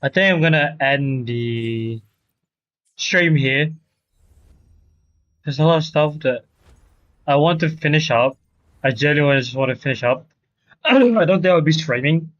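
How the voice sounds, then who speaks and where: A man livestreaming a game, chatting casually to viewers in a halting, repetitive, slangy way. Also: fairly high pitch, animated, fairly bright tone. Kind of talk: casual chat to stream viewers. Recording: dull lo-fi stream audio.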